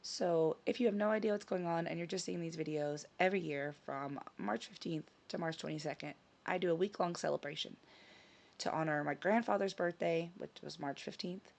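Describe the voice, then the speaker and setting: Slightly hoarse, low-voiced woman vlogger. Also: brisk, darkish tone. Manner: chatty, with frequent ums and self-corrections. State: slightly hoarse, scratchy throat. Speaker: channel host. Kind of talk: casual vlog chat. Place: her home in Sicily.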